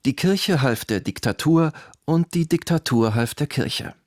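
A man's voice: a little high, animated, measured pace, a little mellow, no echo. A man narrating a scripted radio documentary.

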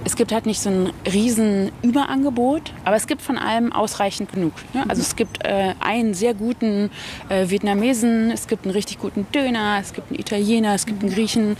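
A woman's voice: mid-range voice, a little fast. Woman, 30s, from Berlin, chatty and easygoing, recorded outdoors with faint noise behind. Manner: chatty, relaxed, many fillers and tag questions. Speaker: interviewee. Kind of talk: casual chat. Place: outdoors in a small town.

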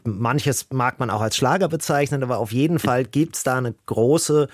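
A man's voice: medium pitch, darkish tone, dry recording, clean studio sound. A man hosting a radio music interview, talking conversationally and thinking aloud as he shares his opinions. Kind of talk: radio interview with spoken introduction.

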